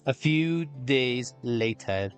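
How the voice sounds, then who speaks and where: A woman's voice: rumbling low, animated, slow pace, soft background music. A woman streaming a game and casually reacting to the dialogue.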